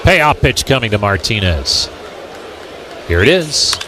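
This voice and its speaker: A man's voice: on the low side, big pitch swings, slow pace. Male baseball announcer over ballpark noise. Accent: American. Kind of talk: live radio sports commentary. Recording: clear background noise from outdoor ballpark.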